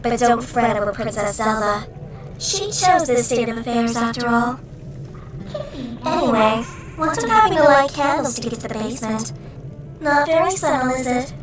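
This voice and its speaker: A high-pitched, impish shadow creature voiced by a woman teases with sardonic flippancy, as a video game companion. The voice sounds distant and echoey, with music playing clearly behind it. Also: lively intonation, moderate pace, close to an everyday voice. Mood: mocking, teasing. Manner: teasing, mocking, sardonic. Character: impish, teasing female shadow creature companion, mischievous and mocking. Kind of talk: fan-made video game voice acting. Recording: roomy, distant mic under music.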